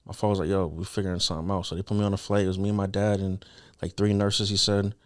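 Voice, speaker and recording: A man's voice: sits low, little pitch movement, very fast. A young man telling a personal story as a podcast guest in a casual, slangy, earnest conversational way.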